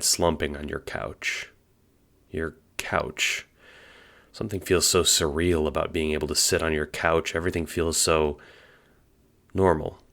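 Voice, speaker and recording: Very deep-voiced male story narrator. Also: vocal fry, fairly bright tone. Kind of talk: second-person scripted audio drama narration.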